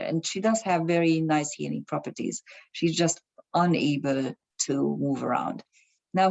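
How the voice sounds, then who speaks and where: A woman's voice: low voice, gravelly, a little mellow, low-quality recording. A woman, an astrologer explaining ideas to her viewers in a hesitant way, with many fillers and restarts. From Germany. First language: Hungarian. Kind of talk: casual talk to camera.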